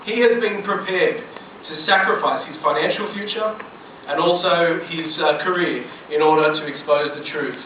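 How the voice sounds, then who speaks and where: A man giving a formal introduction as event host, measured and oratorical. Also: on the high side. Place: hall at a public forum. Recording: very echoey hall, distant, dull, hissy.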